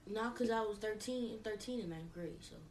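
A woman's voice: mid-range voice, moderate pace, darkish tone, recorded from a distance, slight background noise. A woman guest on a casual podcast, rambling and correcting herself, blunt in tone. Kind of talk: casual chat.

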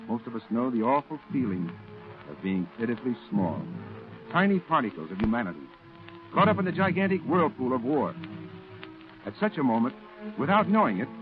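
An actor playing a solemn war correspondent, narrating dramatically in a 1940s radio drama. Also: male voice, mid-range voice, narrow, tinny audio, natural voice. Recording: thin old radio recording. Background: music.

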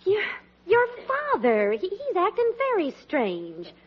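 An actress playing an affectionate, brisk American wife and mother in a 1940s radio comedy. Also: very high-pitched, wildly swooping pitch, heavy background noise, exaggerated voice. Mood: concerned. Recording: muffled old radio, audience behind.

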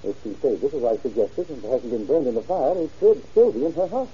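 An actor playing a formal, skeptical, dismissive office professional in an old radio crime drama. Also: male voice, mid-range voice, expressive, brisk, warm tone, husky. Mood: dry, relieved. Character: dry, skeptical office professional, unimpressed by threats. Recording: dull, muffled old radio recording.

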